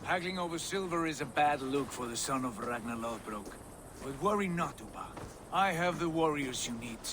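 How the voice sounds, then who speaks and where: An actor playing a calm, diplomatic Viking clan leader in a game cutscene, measured and authoritative. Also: male voice, a little high, background noise, lightly acted. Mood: assured. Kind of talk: video game cutscene acting.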